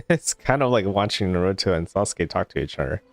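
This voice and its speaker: A man's voice: low voice, sing-song, a little fast, soft background music. A man giving casual let's play commentary as a game streamer.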